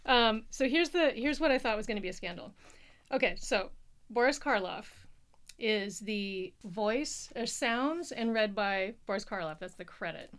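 A woman's voice: mid-range voice, lively intonation, moderate pace, fairly bright tone. A woman in her thirties co-hosting a casual chat podcast, playful and laughing often. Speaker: podcast co-host, pattern maker.

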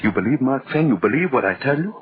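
Feverish megalomaniac scientist, husky, swooping voice. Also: male voice, mid-range voice, lively intonation, fast. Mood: commanding, scornful. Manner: grandiose, feverish, insistent, repeats words. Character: megalomaniac male scientist obsessed with a speed potion. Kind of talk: old-time radio thriller acting. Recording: dull, lo-fi old radio recording.

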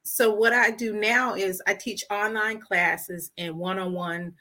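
A woman's voice: mid-range voice, fairly even intonation, measured pace, bright, forceful tone. A woman guest on an interview podcast, talking earnestly and animatedly about her life and work as a storyteller. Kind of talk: casual interview talk.

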